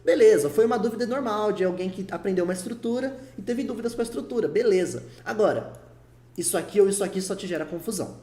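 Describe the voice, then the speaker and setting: A man's voice: sits high, animated, fast, dark tone. A man with a Brazilian Portuguese accent giving a casual video lesson as a teacher, chatty and coaching, repeating his points for emphasis. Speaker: English teacher. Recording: echoey room.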